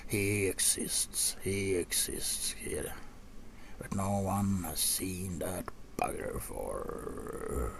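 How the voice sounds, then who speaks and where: A man playing a gruff, seasoned vampire hunter in a soft-spoken ASMR roleplay, half-whispering and muttering slowly to a rookie with casual asides. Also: sits low, exaggerated voice. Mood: musing, matter-of-fact. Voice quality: gravelly, breathy half-whisper. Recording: clearly audible background noise.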